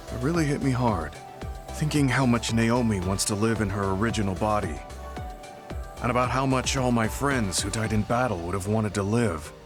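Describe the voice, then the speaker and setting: An actor playing a weary, remorseful veteran soldier in a video game, speaking in an earnest, apologetic and measured way. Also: male voice, on the low side, natural voice. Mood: reflective. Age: older. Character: weary, remorseful veteran male soldier, humble and self-reproachful. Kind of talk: video game English voice acting. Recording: game music behind the voice. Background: game music.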